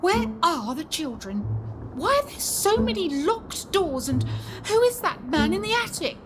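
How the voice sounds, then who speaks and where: A woman's voice: very high-pitched, big pitch swings, noisy background, exaggerated voice. A woman playing an anxious, bewildered governess in a spooky audio play. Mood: anxious, questioning. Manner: anxious, pleading, halting. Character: frightened young governess woman, earnest and bewildered.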